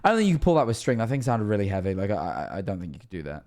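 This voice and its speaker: A man streaming casual reaction commentary, hoarse from losing his voice, talking in halting restarts. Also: lowish voice, sing-song, a little mellow.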